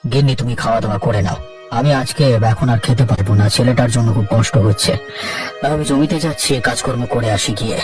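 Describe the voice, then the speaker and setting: A man's voice: mid-range voice, fast talker, distant mic, over music, close to an everyday voice. A man voicing an aging farmer father in a cartoon, resigned, caring and sorrowful, speaking with weary earnestness. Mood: sad, resigned. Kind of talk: cartoon dialogue acting.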